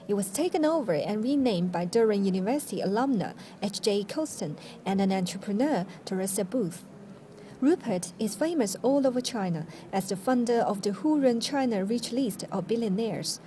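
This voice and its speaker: A woman's voice: medium pitch, dark tone, a little hiss or hum. A woman reporter reading a scripted news narration.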